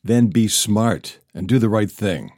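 An actor playing a composed, calculating and persuasive police official in a crime audio drama. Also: male voice, on the low side, unhurried, a little mellow, no echo, studio quality.

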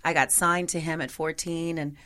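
A woman singer in a conversational interview, with a gravelly voice and a chatty manner full of "you know". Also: low voice, fairly even intonation, normal speed, sharp tone.

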